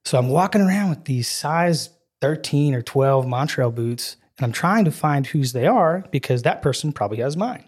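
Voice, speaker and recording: A man's voice: a little high, normal speed, few pauses. Midwest-born man telling stories. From Wisconsin, US Midwest. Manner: animated storyteller, laughs easily, many filler "you know"s. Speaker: guest, ministry program leader. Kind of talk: casual storytelling in a podcast chat.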